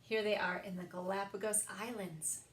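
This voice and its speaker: A woman reading picture books aloud for a children's story time, expressive and sing-song, with emphasized words. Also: medium pitch, moderate pace. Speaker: library story time reader.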